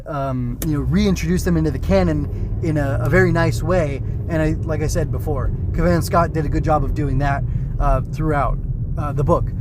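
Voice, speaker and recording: A man's voice: mid-range voice, darkish tone, no room sound. A man giving a casual solo review as a podcast host, rambling, thinking aloud and correcting himself as he goes.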